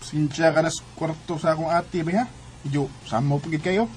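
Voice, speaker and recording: A man's voice: fairly high pitch, steady tone, fairly quick, darkish tone, a little hiss or hum, close to an everyday voice. An actor playing a friendly, hospitable young man in a Cebuano radio drama. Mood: welcoming, casual. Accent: Cebuano Bisaya. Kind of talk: Bisaya radio drama acting.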